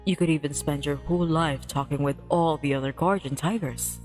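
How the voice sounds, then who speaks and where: A woman voicing a gentle, reassuring male guardian tiger spirit in a visual novel, soft-spoken and earnest. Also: deep voice, a little fast, faint music behind, voice pushed down, natural voice.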